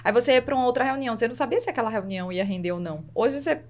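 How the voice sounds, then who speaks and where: A Brazilian woman co-hosts a casual business conversation. Her voice sits a bit high and she talks rapidly.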